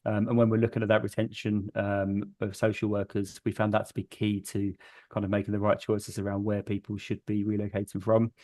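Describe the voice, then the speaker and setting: A man's voice: fairly low pitch, completely flat delivery, fairly quick, warm tone, dry recording. A man speaking as a recruitment business director on a webinar panel, matter-of-fact and businesslike, over a video call.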